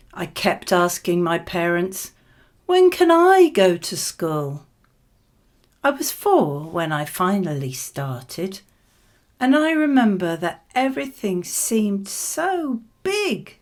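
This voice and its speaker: A woman gives scripted sample answers as a model exam candidate. Her voice sits a little low and she speaks very slowly. The phrasing is measured and clear.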